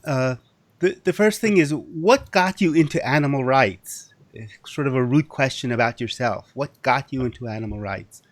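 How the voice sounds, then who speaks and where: A man interviewing a guest, asking questions in a hesitant, halting way. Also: medium pitch.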